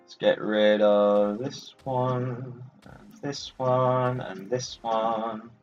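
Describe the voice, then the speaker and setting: A man's voice: medium pitch, fairly even intonation, very slow. A man casually commentating on his own video game playthrough as the host, in a playfully boastful way. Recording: muffled, distant mic over game music. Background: game music.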